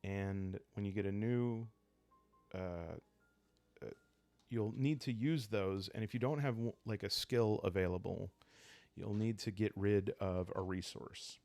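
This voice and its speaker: A man calmly explaining a solo roleplaying game in a soft-spoken, unhurried ASMR style, hesitating now and then as he thinks aloud. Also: on the low side, no room sound. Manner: calm, unhurried. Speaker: host of a solo roleplaying playthrough.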